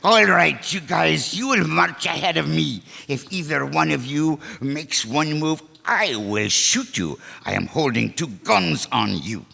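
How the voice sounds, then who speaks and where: A man playing a scheming, excitable foreign criminal mastermind in a radio detective play, speaking stilted, formal English. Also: a little high, measured pace, creaky, hardly any pauses, exaggerated voice. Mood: menacing, commanding. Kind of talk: old-time radio detective play acting. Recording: echoey hall stage recording.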